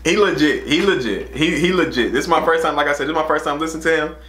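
A man's voice: on the high side, quick pace, fairly bright tone, echoey room. A man in his twenties with an American accent chatting casually as a reaction host, slangy and often repeating his phrases. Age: 20s. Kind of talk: casual music reaction chat. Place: small room indoors.

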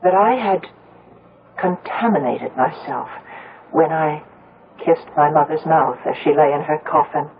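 An actress playing a troubled grown daughter who narrates a vampire tale in 1970s radio drama style, dramatic and deliberate. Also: female voice, sits low, a crowd in the background. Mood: anxious, pressing. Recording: tinny band-limited radio broadcast with music. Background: music.

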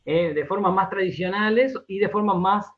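An Argentine man being interviewed on radio, explaining in a halting, conversational way and often repeating his words. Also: high-pitched, highs cut off. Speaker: interview guest, radio drama director and writer.

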